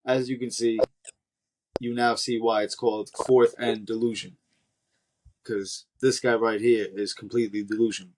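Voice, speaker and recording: A man's voice: on the low side, level tone, normal speed, noisy background. A man co-hosting a football podcast, teasing his partner and steering the conversation. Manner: teasing, laughs often, steers the talk. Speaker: podcast co-host. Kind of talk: casual sports chat.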